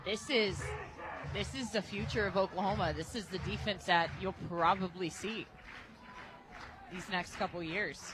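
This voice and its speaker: A woman working as a radio color analyst, giving informal softball commentary and thinking aloud. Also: medium pitch, moderate pace, crowd noise, low-quality recording. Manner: informal, thinks aloud, repeats phrases for emphasis. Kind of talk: conversational live softball analysis.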